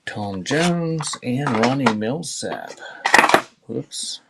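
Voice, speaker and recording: A man's voice: medium pitch, lively intonation, drawn-out words. A man hosting a casual livestream, talking in a rambling, offhand way between asides and items he reads out. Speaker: livestream auction host. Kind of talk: casual livestream chat while sorting cassettes. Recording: clearly noisy background, small clicks.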